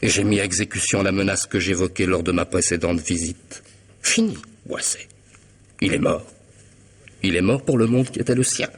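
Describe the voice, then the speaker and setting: A man's voice: sits low, normal speed, husky. An actor playing a cunning, mocking hitman in a radio mystery, with theatrical irony and precise, formal diction. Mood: sardonic menace. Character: cunning middle-aged hitman, smug, mocking, methodical blackmailer. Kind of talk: French radio mystery drama acting.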